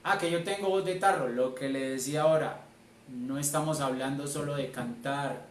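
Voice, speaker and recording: A man's voice: mid-range voice, moderate pace. A Colombian man, a church elder, preaching a sermon in an emphatic way, repeating key phrases. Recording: roomy, distant mic, faint noise.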